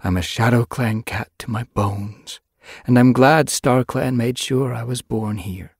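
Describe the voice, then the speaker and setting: A man voicing a wise old female cat in an audiobook story, stern but caring, with measured, admonishing speech. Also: mid-range voice, husky, no echo, studio quality, pitched above the performer's own voice. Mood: calm, musing.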